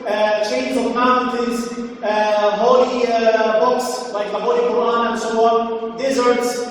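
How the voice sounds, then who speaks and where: Male English teacher, high voice, filler-heavy explaining, distant echoey recording with faint hiss. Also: level tone, slow. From Egypt. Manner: repeats phrases, frequent "uh" and "okay?" checks. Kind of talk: recorded grammar lesson.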